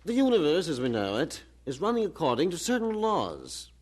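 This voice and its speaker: An actor playing a learned, condescending professor who argues in a measured, didactic way in a radio drama. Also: male voice, on the high side, wildly swooping pitch, darkish tone, slightly rough, full character voice. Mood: patient lecturing. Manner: didactic, pompous, measured argument. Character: learned, condescending male university professor arguing patiently.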